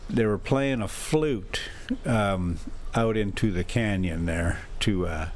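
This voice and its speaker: A man's voice: on the low side, takes it slowly, a little hiss or hum. A man hosting a vlog in a casual, folksy, rambling way, often interrupting himself as he chats and reads a story. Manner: folksy, chuckling, self-interrupting. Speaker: vlog host reading a novel aloud.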